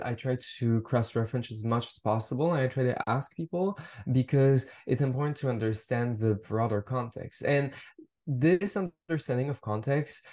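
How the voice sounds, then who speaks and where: A man's voice: medium pitch, a little mellow. French Canadian man, reflective and hesitant. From Montreal, French Canada. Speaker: fashion researcher and collector. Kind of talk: casual podcast conversation.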